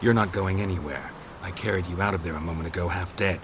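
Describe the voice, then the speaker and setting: An actor playing a gruff, grizzled monster hunter in a video game, speaking in short, flat lines. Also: male voice, quick pace, noisy background. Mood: dry. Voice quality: low, flat, terse delivery.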